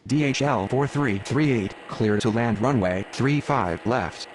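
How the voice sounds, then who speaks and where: A man's voice: mid-range voice, unhurried, poor audio. A man speaking clipped, procedural air traffic radio phrases in a game.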